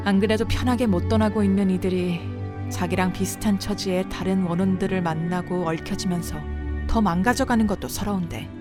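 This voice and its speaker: A woman's voice: medium pitch, lightly acted. A woman voicing a blunt, world-weary senior exorcist in a visual novel drama, explaining things in a casual, matter-of-fact way. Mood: pitying. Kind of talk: dramatic character dialogue, explanatory. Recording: noisy bed of rain and night insects.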